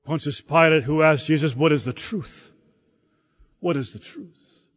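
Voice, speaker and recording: A man preaching a sermon in a slow, halting delivery, often repeating words as he searches for the next phrase. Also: on the high side. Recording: long hall reverb.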